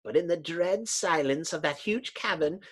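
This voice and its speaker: A woman voicing a bitter, menacing male underground villain in a husky, grandiose, theatrical comic-book reading. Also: sits low, normal speed. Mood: excited, grandiose.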